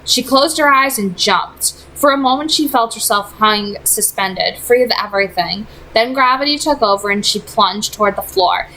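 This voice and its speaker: Higher-voiced woman reading a novel aloud. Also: animated, moderate pace, hardly any pauses, bright, forceful tone, low-quality recording. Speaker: audiobook-style reader. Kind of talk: read-aloud fiction narration.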